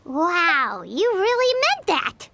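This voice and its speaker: A woman voicing a small, excitable, childlike companion in a video game, playful and dramatic, full of exclamations. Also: extremely high pitch, takes it slowly, background noise, exaggerated voice. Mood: eager. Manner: exclamatory, gushing. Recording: loud music behind.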